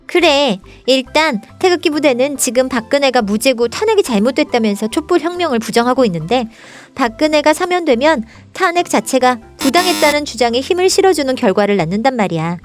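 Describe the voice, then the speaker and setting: A high, bright-spirited princess voice acted by a woman argues politics in a storybook parody. She is sharp-tongued and indignant, scolding with emphatic rhetorical questions. Faint music plays behind her. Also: lively intonation, a little fast. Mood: emphatic explaining. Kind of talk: scripted political satire dialogue, storybook parody.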